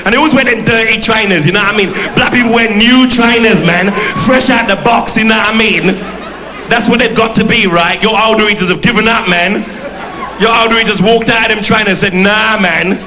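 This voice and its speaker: A man's voice: sits high, fairly quick, noisy background, voice pushed up, close to an everyday voice. A man on a live comedy stage playing a cocky, boastful young man from Brixton with a South London accent, who keeps asking "you know what I mean". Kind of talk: stand-up character act. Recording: echoey hall with crowd laughter.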